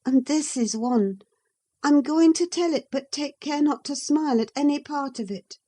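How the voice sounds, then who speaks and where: A woman's voice: high voice, moderate pace, warm tone, voice pushed up, natural voice. A woman reading an audiobook, voicing a passionate, willful young woman who speaks with emphatic feeling. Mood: impassioned. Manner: impassioned, emphatic.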